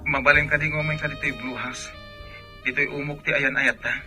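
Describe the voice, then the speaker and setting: An actor playing a slick, teasing man in an Ilocano radio drama, talking in an animated, questioning way. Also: a little high, fairly quick, over music, lightly acted.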